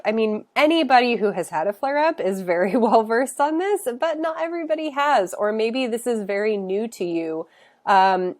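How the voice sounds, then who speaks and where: Congested woman nutritionist explaining casually. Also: mid-range voice. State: congested from a cold. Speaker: functional nutritionist. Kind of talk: educational talk to camera.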